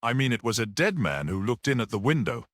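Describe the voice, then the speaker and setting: A man reads as a little priest detective from a classic mystery audiobook. He is composed and philosophical, reasoning in measured phrases with a lively rise and fall, recorded close and dry. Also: medium pitch, dry recording. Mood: composed, grave.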